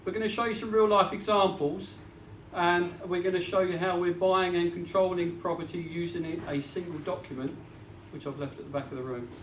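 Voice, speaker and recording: High-voiced man in a hall. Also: flat delivery, a little fast. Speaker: property investment speaker. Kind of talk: seminar presentation. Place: event hall. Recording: roomy, distant, dull lo-fi with faint noise.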